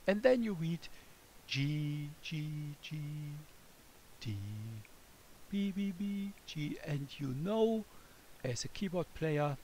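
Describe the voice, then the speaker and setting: Slow-paced German man with a gravelly voice. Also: a little high, slight background noise, low-quality recording. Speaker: music teacher. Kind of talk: scripted children's music lesson, speaking as a melody.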